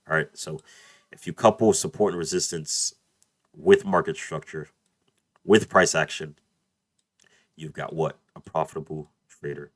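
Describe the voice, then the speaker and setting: A man's voice: sits low, no room sound. A man teaching trading over a chart screen share, casual and repetitive, speaking at a desk mic, his voice a little off after a cold. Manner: repeats "beautiful" and "let's play this out", addresses "you guys". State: just over a cold, voice a bit off. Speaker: trading tutor.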